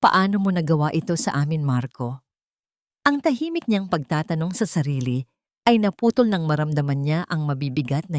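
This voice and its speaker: A woman's voice: low voice, a little mellow, no echo, clean studio sound. A woman narrating a dramatic scripted audio story.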